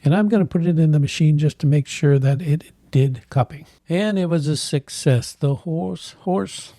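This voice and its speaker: A man hosting a tech channel, thinking aloud in halting bits while he clicks through software, with a voice that is giving out. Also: a little high, dry recording, clean studio sound. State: losing his voice. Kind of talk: casual screen walkthrough.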